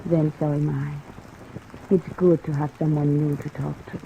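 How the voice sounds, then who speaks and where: Young small-town club singer, openly curious and friendly, period radio style, thin phone-like audio under rainfall. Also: female voice, sits low, measured pace, vocal fry. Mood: confiding. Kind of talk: 1950s American radio drama acting. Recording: tinny vintage radio, steady rain noise.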